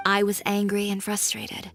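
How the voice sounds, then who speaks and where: An actress playing an assertive young fashion designer in a video game, speaking with frank, composed self-possession. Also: female voice, medium pitch, completely flat delivery, moderate pace, a bit bright, natural voice. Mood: candid, proud. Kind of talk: scripted video game dialogue acting. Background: background music.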